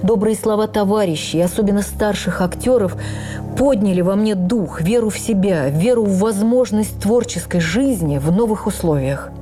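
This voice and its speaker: A woman playing an older actress who recalls her life in a heartfelt, measured radio memoir reading with deliberate pauses. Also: medium pitch, warm tone, faint music behind, close to an everyday voice. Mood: reflective, stirred. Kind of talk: dramatic first-person memoir reading.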